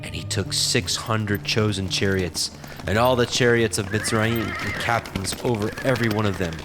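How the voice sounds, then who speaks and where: Low-voiced male narrator reading scripture solemnly. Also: fairly even intonation, over music. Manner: measured, solemn.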